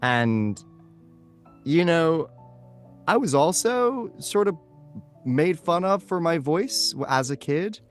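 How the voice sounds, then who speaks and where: A man's voice: on the high side, very animated, slow pace, long pauses, faint music behind. A man speaking casually and emphatically as a voice actor being interviewed.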